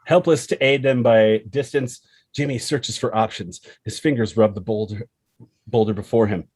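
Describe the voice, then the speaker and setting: A man's voice: medium pitch, moderate pace, a little mellow, husky, dry recording. A man narrating a screenplay, reading out scene headings and action descriptions as scripted storytelling.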